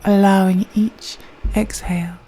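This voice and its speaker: A woman gently leading a guided meditation in a soft, breathy voice, speaking slowly and soothingly with long pauses. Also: mid-range voice, flat delivery, rough recording. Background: soft meditation music.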